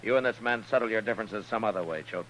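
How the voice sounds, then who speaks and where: An actor playing a stern, unflinching American marshal in a radio western, firm, terse and commanding. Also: male voice, on the low side, fast, fairly bright tone, slight background noise, natural voice. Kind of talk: 1950s radio western acting. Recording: dull old-time radio recording.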